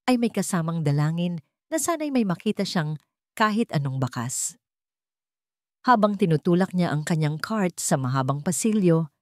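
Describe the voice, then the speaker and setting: A woman narrates a dramatic love story from a script. Her voice sits on the low side for a woman. The recording is dry, with little room sound. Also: a little mellow, dry recording.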